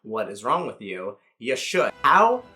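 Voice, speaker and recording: A man in his thirties giving a casual, comedic movie review, rambling excitedly with swearing and jokey asides. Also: medium pitch, a little slow, faint music behind. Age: 30s.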